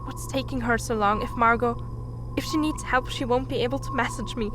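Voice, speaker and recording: A woman playing an anxious, stranded spaceship crew member in an audio drama, speaking in a worried, halting log. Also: fairly high pitch, steady tone, noisy background, lightly acted. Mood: urgent, anxious. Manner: worried, halting, self-correcting.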